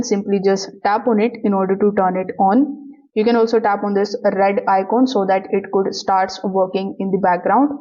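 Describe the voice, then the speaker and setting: Dark-voiced woman narrating a tutorial, muffled sound. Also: mid-range voice. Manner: instructional, methodical. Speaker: tutorial host. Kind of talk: scripted step-by-step tutorial narration. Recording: dull, lo-fi voice-over.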